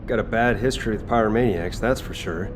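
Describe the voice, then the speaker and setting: An actor playing a cocky, playful fire-wielding cook and fighter in a video game, with a flippant, teasing way of talking. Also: male voice, lowish voice, fast, lightly acted. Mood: easygoing. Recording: loud game music behind the voice.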